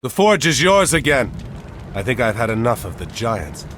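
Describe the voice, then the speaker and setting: An actor playing a resolute, curt warrior commander in a mythological video game cutscene. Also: male voice, mid-range voice, very animated, moderate pace, a bit bright, a little hiss or hum. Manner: firm, curt.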